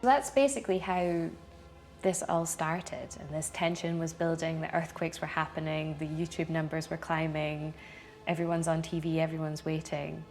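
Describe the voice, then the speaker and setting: A woman's voice: low voice, steady tone, moderate pace, darkish tone, soft background music. A woman co-hosting a podcast, speaking casually and prompting her co-host with "you know".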